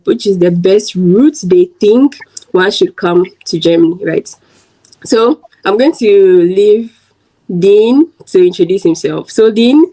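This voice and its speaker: A woman's voice: medium pitch, darkish tone, low-quality recording. A woman from Ghana hosting a casual chat on her video channel, talking in a chatty way with many "like" fillers. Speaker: host and interviewer.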